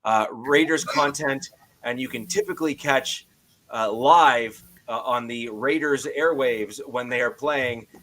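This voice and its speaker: A man hosting a casual sports podcast over a video call, loud-voiced and loose, filling his sentences with "uh". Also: medium pitch, measured pace, low-quality recording. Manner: loose, filler-heavy, frequent "uh". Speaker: podcast host.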